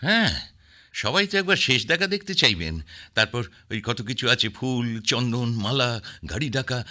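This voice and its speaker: An actor playing a sardonic, bitter figure in a radio drama, talking dryly with wry laughter. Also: male voice, mid-range voice, lively intonation, normal speed, bright, forceful tone. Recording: radio play with faint music.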